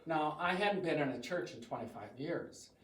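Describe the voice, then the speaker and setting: A man's voice: on the high side, lively intonation, normal speed, a bit bright. An older man from the US Midwest with a big voice, giving a chatty, self-joking talk to a church congregation. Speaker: guest speaker. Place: church hall. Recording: echoey hall from far off.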